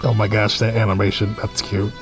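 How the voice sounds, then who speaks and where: A man's voice: lowish voice, little pitch movement, fairly quick. A man reading a visual novel aloud as a let's play host, dropping in informal asides and reactions. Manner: informal asides between read-out lines. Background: game music.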